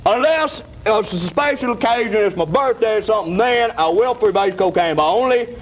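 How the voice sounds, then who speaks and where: A man's voice: high-pitched, animated, fairly quick, a little hiss or hum. A man giving a rambling comic testimonial in a folksy, repetitive, emphatic way.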